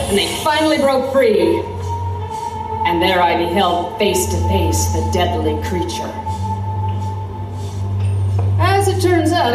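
A woman's voice: medium pitch, close to an everyday voice. A woman playing an intrepid Victorian reporter, telling a horror adventure as dramatic scripted narration. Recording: echoey, distant, music underneath.

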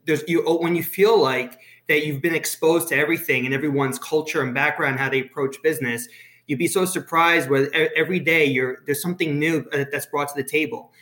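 A man's voice: a little high, steady tone, brisk, sharp tone, roomy sound. A man with a New York accent, talking in a friendly, rambling way with many fillers. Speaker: guest colleague. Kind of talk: casual conversation.

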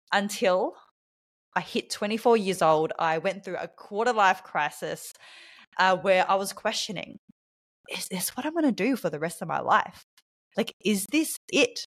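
An Australian woman speaking as a podcast guest, telling personal stories in a reflective, articulate, conversational way. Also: mid-range voice.

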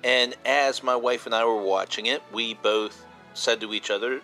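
Male podcast host, fifties: bright, punchy, informal fan chat, repeats points for emphasis, faint music bed. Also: medium pitch, fairly even intonation, moderate pace, poor audio. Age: 50s. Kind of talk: casual solo podcast talk.

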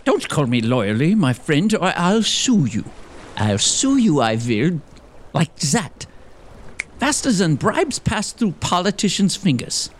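A man playing a fussy, excitable young lawyer in a comic audio drama, with a fretful, theatrical delivery. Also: fairly high pitch, unhurried, a little hiss or hum. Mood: comic, self-important. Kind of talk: gothic comedy audio drama dialogue.

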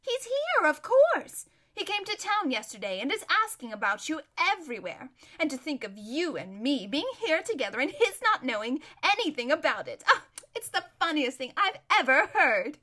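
A woman reading a storybook, voicing a vain, giggly young noblewoman who talks in a loud, gushing, lazy drawl. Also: high voice, big pitch swings, normal speed, pitched above the performer's own voice, exaggerated voice. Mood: gushing, amused.

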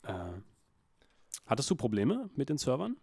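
A man's voice: medium pitch, big pitch swings, moderate pace, creaky, darkish tone, slightly rough, dry recording, studio quality. A man chatting casually and ironically, often drifting into mock-serious rants. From Berlin. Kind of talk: joking casual chat.

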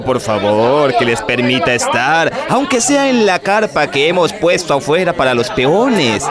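An actor playing an anxious, placating man who manages a wedding celebration in a radio drama, hesitant and obsequious in his phrasing. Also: a little high. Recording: loud crowd noise behind, outdoor ambience.

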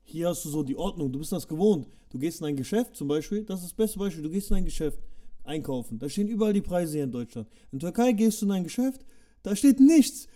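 A young man co-hosting a podcast, speaking in relaxed slangy talk that turns animated as he explains. His voice is dark and mellow and a touch higher than most men's. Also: quick pace. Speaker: podcast co-host. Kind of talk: casual chat.

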